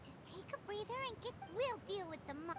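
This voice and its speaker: Super high squeaky little sidekick, curious n cheerful, bouncy, loud game music. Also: female voice, animated, brisk. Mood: reassuring. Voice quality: squeaky, childlike. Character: small childlike floating companion, cheerful and curious. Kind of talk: video game dialogue acting.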